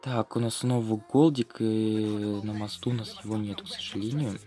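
Man, lowish voice, gaming video host, casual slangy running commentary, background music. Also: fairly even intonation, normal speed, warm tone. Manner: informal, slangy running commentary addressed to viewers.

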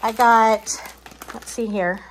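A woman with a somewhat high voice chats casually as she films at home in her kitchen. Her delivery is informal and folksy, wandering into little asides as she goes through items. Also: steady tone, slow pace, slight background noise, poor audio. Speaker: vlogger. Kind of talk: chatty grocery haul narration.

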